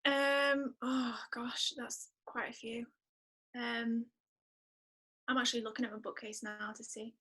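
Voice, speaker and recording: Female podcast guest, bright and fairly high voice, chatty, expressive delivery. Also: a little slow, breathy edge. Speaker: musician. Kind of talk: casual, chatty interview answers.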